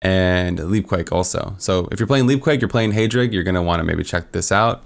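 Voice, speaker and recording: A man's voice: low voice, normal speed, vocal fry. A man walking casually through a game build tier list, upbeat and rambling with lots of fillers, his voice a little worn. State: losing his voice. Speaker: gaming content creator.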